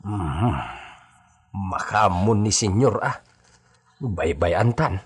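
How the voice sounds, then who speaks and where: Low, husky household servant. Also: male voice, extremely slow, full character voice. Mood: concerned. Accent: Ilocano. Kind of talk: Ilocano radio drama acting.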